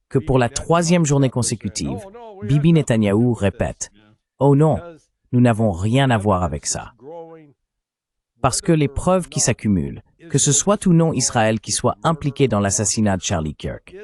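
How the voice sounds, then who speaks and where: A man's voice: mid-range voice, a little mellow. A man reading a translated voice-over of a political discussion in a blunt, opinionated way.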